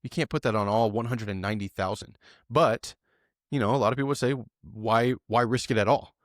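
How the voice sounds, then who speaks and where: A man's voice: on the low side, a little fast, no echo, clean studio sound. A man co-hosting a podcast, talking through the news casually with lots of fillers and tag questions. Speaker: podcast co-host.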